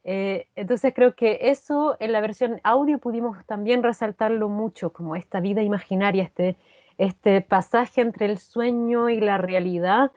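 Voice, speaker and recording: A woman's voice: medium pitch, measured pace, darkish tone, no echo, muffled recording. A woman answering as an interviewed actress, reflective and searching, repeating phrases as she explains. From Chile.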